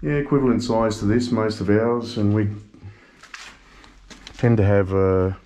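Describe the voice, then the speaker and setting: Man, low voice, casual vlogger, thinks aloud, rumbly car audio. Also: a little slow. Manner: chatty, thinks aloud, swears at other drivers. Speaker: travel vlogger. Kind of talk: casual walking and driving vlog. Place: abandoned building, then inside a car. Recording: dull lo-fi camera audio with loud engine rumble.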